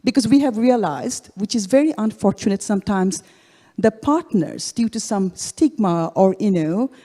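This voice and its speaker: A woman from Bangladesh, speaking earnestly and haltingly as an award recipient in an onstage interview. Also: medium pitch, animated, mellow. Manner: earnest, halting, repeats phrases. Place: large event hall. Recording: long hall reverb.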